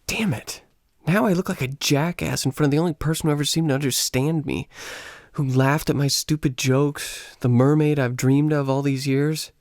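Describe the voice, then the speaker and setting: An actor playing a lonely, embittered American schoolteacher in a radio play, self-mocking and dramatic, with an American accent. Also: male voice, a little high, fairly even intonation, moderate pace, a little mellow, no echo, studio quality, natural voice. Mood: bitter, hurt.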